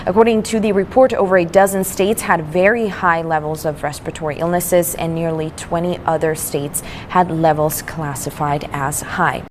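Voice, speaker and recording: A woman's voice: on the low side, fairly even intonation, moderate pace, few pauses, slight background noise. A woman reading a scripted news report as a reporter.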